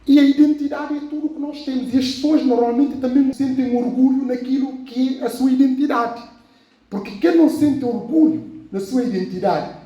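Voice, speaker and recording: A man's voice: sits high, dark tone. A man from Guinea-Bissau with a Guinea-Bissau Portuguese accent, preaching a sermon in a rambling way, repeating phrases and saying "pronto" often. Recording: very echoey room, voice from far off.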